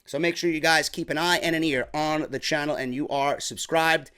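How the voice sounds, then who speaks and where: A young man from New York, chatty and slightly hoarse from a sore throat, reviewing a wrestling show in a casual vlog. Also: fairly high pitch, steady tone, moderate pace, sharp tone. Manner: chatty, keeps calling viewers "man".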